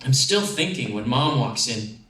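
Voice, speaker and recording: A man reading a first-person short story aloud as an actor, in an expressive, dramatic way. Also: medium pitch, measured pace. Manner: expressive, acting out dialogue. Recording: echoey room, distant microphone.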